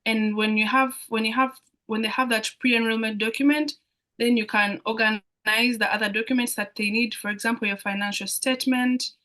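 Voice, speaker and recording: Bright-voiced young Ugandan woman, high-pitched. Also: fairly even intonation, fairly quick. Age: young adult. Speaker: international student guest speaker on a Q&A. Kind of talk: explanatory talk in a live online Q&A.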